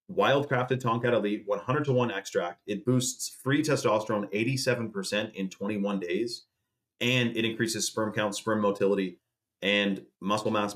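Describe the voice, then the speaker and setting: Male host, around thirty, lowish voice, casual salesy livestream patter. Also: a bit bright. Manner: chatty, salesy, says dude and man a lot. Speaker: channel host reading a sponsor ad. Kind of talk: casual livestream sponsor pitch.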